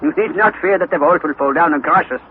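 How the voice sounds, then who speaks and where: An actor playing an excitable, stubborn older professor uncle, pompous and exclamatory, in a 1960s radio adventure serial. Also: male voice, a little high, fairly quick, lightly acted. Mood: lecturing. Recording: tinny, hissy vintage radio broadcast.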